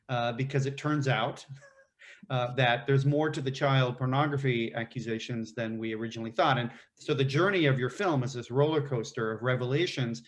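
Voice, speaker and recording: Man moderating a film Q&A. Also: medium pitch. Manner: long, detailed questions with fillers. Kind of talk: festival Q&A interview.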